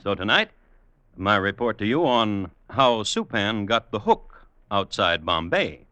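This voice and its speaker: A man hosting and narrating in 1950s radio style, with a dry, droll, deliberate, mock-formal delivery. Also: lowish voice, very animated, sharp tone, dry recording. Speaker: host and narrator. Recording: old radio recording with music behind. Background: music.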